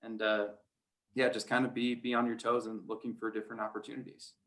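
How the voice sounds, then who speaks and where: A man's voice: medium pitch, little pitch movement, fast talker, a bit bright. A man who works as a play-by-play announcer, chatting casually and without affectation in an interview. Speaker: high school sports radio play-by-play announcer.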